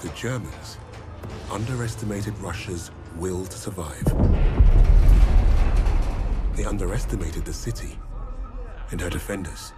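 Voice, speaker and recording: A man playing a grave war narrator, giving solemn, measured, dramatic scripted narration for a video game cutscene. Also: low voice, natural voice. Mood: grave, admiring. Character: grave male war narrator telling a legend. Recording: loud music under narration.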